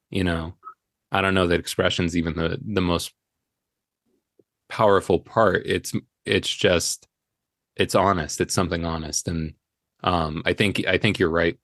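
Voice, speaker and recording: Man, low-pitched voice, thoughtful, halting delivery, podcast guest, dry close sound. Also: no echo. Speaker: podcast guest, indie audio drama creator. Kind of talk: reflective casual interview talk.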